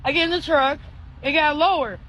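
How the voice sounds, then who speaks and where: A woman's voice: sits high, fairly quick, a bit bright, rough recording. A woman casually telling what happened to her, flustered and incredulous. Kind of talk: casual personal storytelling.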